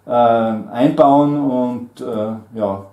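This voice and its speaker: A man's voice: mid-range voice, extremely slow, mellow, reverberant. A middle-aged man, leading an oral language exam with many fillers and friendly laughs. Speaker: German trainer and examiner. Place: small indoor room at a table.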